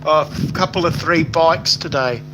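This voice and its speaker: Brief: older Australian man, rambling live-stream chat, slightly high voice, noisy background. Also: moderate pace. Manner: rambling, lots of fillers, stop-start phrasing. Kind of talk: casual live stream chat, reading comments aloud. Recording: noisy home recording.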